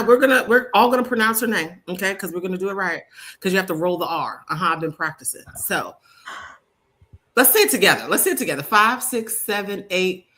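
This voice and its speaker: A woman hosting a live interview show, animated and playful, with frequent affirming hums. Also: lowish voice. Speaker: host.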